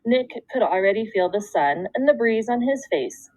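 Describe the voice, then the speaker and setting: Female schoolteacher for a children's read-aloud: animated intonation, deliberate storytelling phrasing, dry room sound. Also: fairly high pitch, no echo, rough recording. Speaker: second grade teacher.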